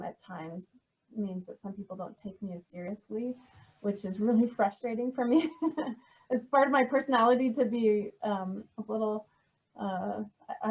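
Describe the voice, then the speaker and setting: Soft-voiced woman prof talking it through, lots of ums, middle-aged. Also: medium pitch, low-quality recording. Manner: soft-spoken, hesitant, many ums and restarts. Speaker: history professor, interview guest. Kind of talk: reflective interview answers.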